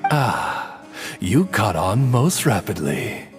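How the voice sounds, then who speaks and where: A man's voice: fairly low pitch, sing-song, slow pace, faint music behind. A man voicing a devoted, courtly talking raven in a video game, speaking formally and with grand eloquence. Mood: gently instructive. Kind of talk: video game cutscene voice acting.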